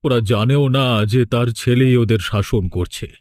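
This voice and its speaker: A man's voice: mid-range voice, measured pace, a little mellow, close to an everyday voice. A man playing a sombre, middle-aged villager in a horror cartoon, earnest and subdued. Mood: brooding.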